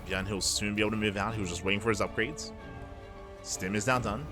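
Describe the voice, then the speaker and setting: Male esports caster, lower-pitched voice, analytical play-by-play delivery with repeated phrasing, clear music bed underneath. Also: fairly even intonation, quick pace. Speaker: esports commentator. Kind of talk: live StarCraft match commentary. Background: background music.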